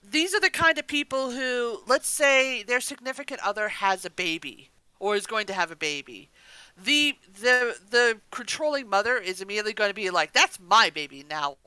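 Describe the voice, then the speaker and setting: Female review co-host: enthusiastic, high and bright, casual chat delivery. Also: lively intonation, normal speed, clean tone, dry recording, poor audio. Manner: gushing, enthusiastic. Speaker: podcast co-host reviewing an audio drama.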